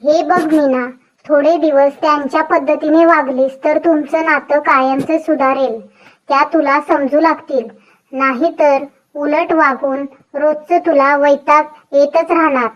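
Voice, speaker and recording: A woman's voice: sits high, steady tone, a little slow, lo-fi sound. A woman voicing a sensible, encouraging young married friend who gives advice in a dubbed moral story. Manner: advising, explanatory. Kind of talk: animated moral story dubbing.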